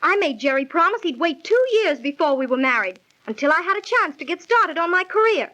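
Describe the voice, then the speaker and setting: An actress playing a naive, excitable young fiancee in theatrical 1930s radio style. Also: female voice, extremely high pitch, fairly quick, exaggerated voice. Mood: dismayed. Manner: theatrical, exclaiming. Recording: dull old 1930s radio recording.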